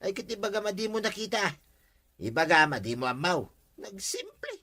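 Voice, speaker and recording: A man's voice: high-pitched, sing-song, moderate pace, pushed and bright, exaggerated voice. A man with an Ilocano accent acting a brash, mischievous village schemer in a comedy drama. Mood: scheming.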